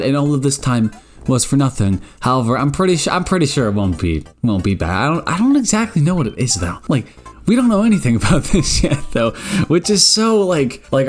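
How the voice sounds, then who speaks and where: Young man around nineteen, casual gaming commentator, rambling and stammering, mid-range voice, light music under him. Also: medium pitch. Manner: rambling, stammering restarts, lots of filler asides; animated, joking, exclaims "bro" and "baby".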